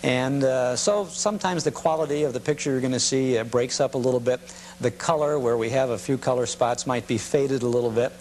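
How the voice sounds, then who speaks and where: A man hosting a nostalgia broadcast, introducing material in a conversational, halting, explanatory way. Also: mid-range voice, darkish tone. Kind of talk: casual unscripted introduction of vintage commercials. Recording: clearly noisy old TV tape with hum.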